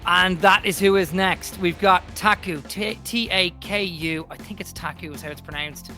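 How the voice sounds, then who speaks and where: Male podcast co-host, high and bright, lively jokey ribbing, online call. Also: moderate pace, soft background music. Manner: animated, mock-indignant teasing. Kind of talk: casual chat.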